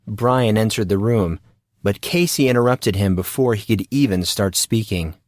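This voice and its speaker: A man's voice: on the low side, normal speed, no room sound, clean studio sound. A man reading a scripted story as its narrator.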